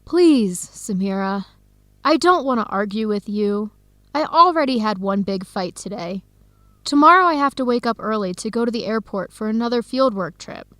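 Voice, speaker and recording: An actress playing a warm-hearted young woman in an educational radio drama, expressive and conversational. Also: fairly high pitch, normal speed, dry recording. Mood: frustrated, defensive. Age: young adult. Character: Helen, warm-hearted young woman, defensive about her boyfriend.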